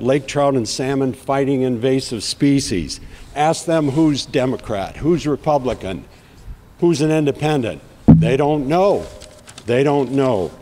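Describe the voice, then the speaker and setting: A middle-aged man with a raspy voice giving an earnest speech at a rally in a rhythmic, declamatory way. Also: medium pitch, a little mellow, in a large hall. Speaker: outdoor TV host giving a speech.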